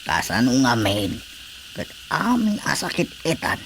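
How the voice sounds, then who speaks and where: A man's voice: medium pitch, huge pitch swings, measured pace, fairly bright tone, a little hiss or hum, exaggerated voice, poor audio. A man with an Ilocano accent playing a resigned village husband in a radio drama.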